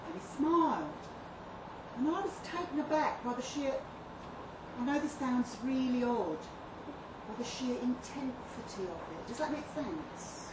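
A woman playing an earnest, well-spoken primary school teacher, measured and thoughtful, recorded at a live reading. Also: high-pitched, lively intonation. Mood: earnest, reflective. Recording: distant echoey live venue, noisy room.

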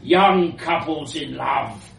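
A man voicing an elderly, eccentric woman scientist with a powerful voice, a foreign accent and halting, grandiose, bitter delivery in a story. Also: on the high side, expressive, gravelly, a bit bright, heavily acted. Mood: scornful. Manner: halting non-native grammar, grandiose, declaiming. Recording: roomy, distant mic with hiss.

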